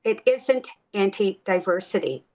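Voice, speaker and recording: A woman's voice: mid-range voice, lively intonation, unhurried, darkish tone. Woman delivering a formal council statement. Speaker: city councillor. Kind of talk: formal prepared council speech.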